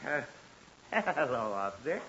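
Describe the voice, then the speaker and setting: A man's voice: mid-range voice, sing-song, measured pace, a crowd in the background. An actor playing an exasperated, blustering middle-aged American father in an old-time radio comedy. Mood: nervous, placating. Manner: blustering, sputtering, nervous. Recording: muffled, hissy old radio recording.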